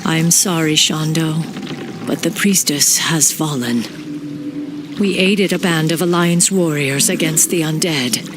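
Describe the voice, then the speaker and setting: A woman's voice: deep voice, measured pace, noisy background. An actress playing a stern, vengeful female night elf warden in a video game, grave and formally commanding. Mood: grim. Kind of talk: dramatic video game voice acting. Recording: dramatic game score underneath.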